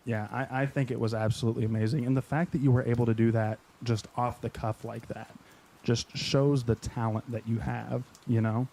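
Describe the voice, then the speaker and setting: A man's voice: mid-range voice, level tone, brisk, vocal fry, mellow. A man hosting a live stream, chatting enthusiastically with a guest and passing on questions from chat. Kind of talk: casual interview chat.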